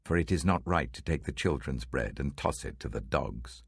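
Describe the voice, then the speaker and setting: A man's voice: rumbling low, animated, moderate pace, vocal fry, husky, no echo, clean studio sound, heavily acted. A British man voicing a stern, authoritative religious teacher in a dramatic scripture reading, emphatic and weighty. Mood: firm.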